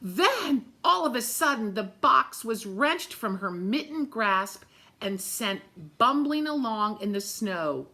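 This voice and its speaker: A woman's voice: fairly high pitch, sing-song, slow pace, bright, forceful tone. A woman reading a picture book aloud expressively, with dramatic phrasing and little asides to young listeners. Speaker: storybook reader.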